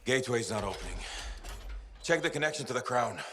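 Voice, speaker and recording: An actor playing a gruff, stubborn veteran freelancer who grumbles and swears at his broken gear, in a game cutscene. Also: mid-range voice, animated, fairly quick, heavy background noise, natural voice. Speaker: pilot of an exosuit. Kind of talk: video game cutscene acting.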